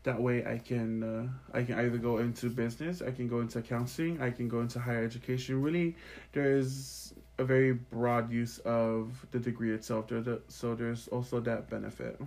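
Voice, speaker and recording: A young man from American Samoa speaks as an interview guest at an average male pitch. He explains himself earnestly, giving reflective, personal answers in a conversational way. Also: flat delivery, moderate pace, a little mellow. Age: young adult.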